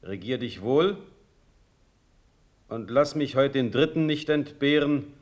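An actor playing a dignified, fatherly ruler in a classic radio drama, speaking with measured authority. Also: medium pitch, expressive. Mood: stately, admonishing. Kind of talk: classic German radio play stage acting.

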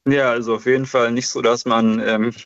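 A man's voice: mid-range voice, flat delivery, fairly quick, a bit bright, no room sound, lo-fi sound. A man calling in to a radio show, talking hesitantly with many fillers. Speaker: radio caller. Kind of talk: casual phone-in chat.

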